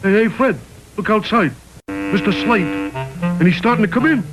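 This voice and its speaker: Chipper little cartoon sidekick caveman, high and bouncy. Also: expressive, normal speed, music in the background, rough recording. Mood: urgent. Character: meek loyal male sidekick caveman. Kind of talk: vintage cartoon commercial.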